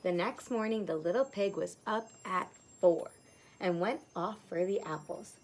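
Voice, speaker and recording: A woman's voice: fairly low pitch, unhurried, darkish tone, slight background noise, low-quality recording. A woman teacher reading a children's story aloud, narrating expressively with plain explanatory asides.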